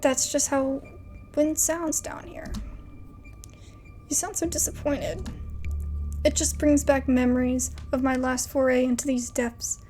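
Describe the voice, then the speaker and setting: A woman's voice: sits high, level tone, measured pace, pauses often, darkish tone, soft background music. A woman doing a breezy, joking ghost hunter. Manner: breezy, teasing. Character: cheerful ghost-hunting young woman, jokey.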